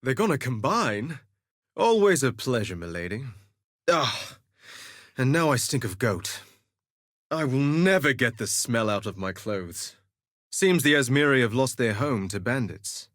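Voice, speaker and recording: An actor playing a vain, flirtatious gladiator duelist in a video game, with a theatrical, suave and sardonic delivery. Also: male voice, mid-range voice, sing-song, measured pace, a bit bright, dry recording, studio quality. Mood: cocky, wry. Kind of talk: scripted game voice lines, theatrical quips.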